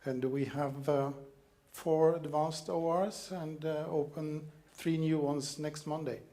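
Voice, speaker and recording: A man introduces himself on a conference panel. His voice is a bit high but soft and mellow, and he stops often on fillers. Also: level tone, takes it slowly. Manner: hesitant, frequent uh fillers. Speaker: hospital researcher, panelist.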